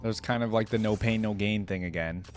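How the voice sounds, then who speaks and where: A woman's voice: extremely low pitch, fast talker, music in the background. A woman giving casual, off-the-cuff reaction commentary, musing thoughtfully as she goes. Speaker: reaction video host.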